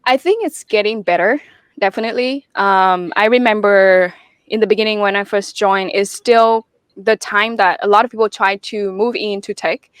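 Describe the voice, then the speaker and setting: A woman's voice: medium pitch, normal speed, clear, resonant tone, no echo. A woman speaking as an interview guest, earnest and thinking aloud with many fillers. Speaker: guest, security researcher. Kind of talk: candid interview conversation.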